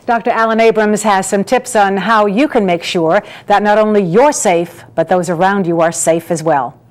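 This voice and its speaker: A woman's voice: mid-range voice, moderate pace. A woman hosting a program, presenting from a script in broadcast style. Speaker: program host.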